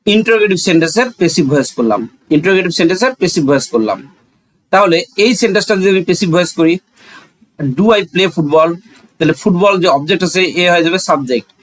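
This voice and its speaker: A man's voice: high-pitched, expressive, fast talker, no room sound, low-quality recording. A man with a Bangladeshi accent teaching in an explanatory, didactic way, asking rhetorical questions and repeating key phrases.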